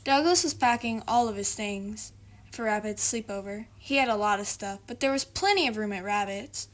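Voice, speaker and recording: A woman's voice: medium pitch, moderate pace. A woman hosting a read-aloud, narrating a children's picture book. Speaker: storybook reader, video host.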